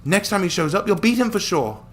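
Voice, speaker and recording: Man putting on a high-pitched caring young woman. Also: sing-song, fairly quick, a little hiss or hum. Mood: encouraging. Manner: earnest, gently reassuring. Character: caring, encouraging young woman friend of the hero.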